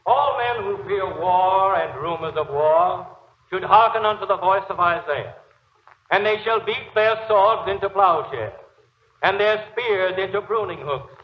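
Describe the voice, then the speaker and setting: Solemn preacher, echoing old radio. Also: male voice, sits high, a little slow. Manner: stately, declamatory. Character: solemn, earnest preacher reading scripture. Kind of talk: sermon in 1940s radio acting. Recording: echoey, dull, noisy old radio recording.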